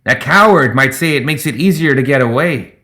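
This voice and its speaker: Gremlin pirate captain, played by a male narrator: pitched up, loud, pompous, speechifying. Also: expressive, brisk. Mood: grandiose. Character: grandiose gremlin sky pirate captain, male, endlessly monologuing. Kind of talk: dramatized audiobook character voice.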